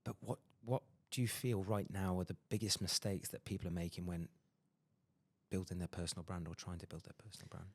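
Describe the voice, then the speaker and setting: Low-voiced male podcast host, dry sound. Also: flat delivery, no room sound. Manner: quick, emphatic, many fillers and restarts. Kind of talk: casual interview chat.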